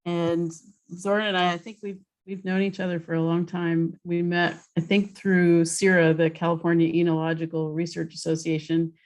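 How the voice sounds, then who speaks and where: A woman hosting a webinar interview on a video call, introducing her guest in a relaxed, chatty way. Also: lowish voice, normal speed. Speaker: host.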